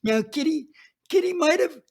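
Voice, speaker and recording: A man voicing a cowardly, panicky heavyset man who stammers and pleads in a high, strained voice. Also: lively intonation, normal speed, creaky, heavy background noise, heavily acted. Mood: whining.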